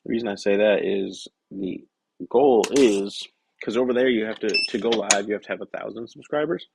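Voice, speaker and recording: A young man chatting casually on a work-shop live stream, rambling and correcting himself, his voice hoarse and scratchy from a cough. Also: on the low side, moderate pace. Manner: rambling, self-correcting, soft-spoken asides. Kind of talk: casual live-stream chat while working.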